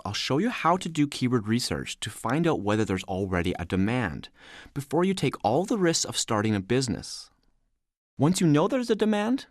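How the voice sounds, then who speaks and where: A man delivering scripted instructional narration as a voice-over, explaining clearly and stressing key words. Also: mid-range voice, dry recording.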